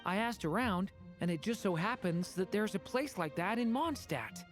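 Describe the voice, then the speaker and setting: Woman playing a soft-spoken, earnest young dragon boy. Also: mid-range voice, lively intonation, normal speed, natural voice. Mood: curious. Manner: polite, thoughtful, sincere. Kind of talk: video game voice acting. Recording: faint background music.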